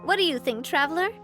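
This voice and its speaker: Young female gang boss for a game: high-pitched, confident, cheerful, playfully breezy, light music behind. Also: fairly bright tone. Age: young adult. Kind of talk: video game voice acting.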